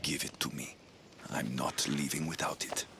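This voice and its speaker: A man's voice: rumbling low, fairly even intonation, moderate pace, vocal fry, noisy background. A man playing a tough, wry ancient Greek mercenary in video game cutscenes, speaking bluntly with dry menace. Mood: firm.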